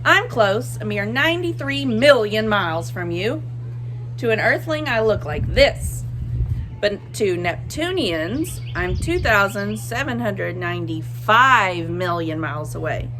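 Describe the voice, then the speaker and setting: A woman voicing a boastful, playful Sun from a picture book, theatrical and bragging with drawn-out emphasis. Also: medium pitch, animated, noisy background. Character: boastful, playful Sun, a cheerful showboating star. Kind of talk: expressive picture-book read-aloud.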